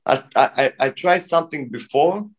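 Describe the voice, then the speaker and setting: Man, thirties, halting English, casual guest talk. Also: on the high side, lively intonation. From Bulgaria. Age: 30s. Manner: halting non-native English with many restarts. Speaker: guest breakdancer. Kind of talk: casual interview.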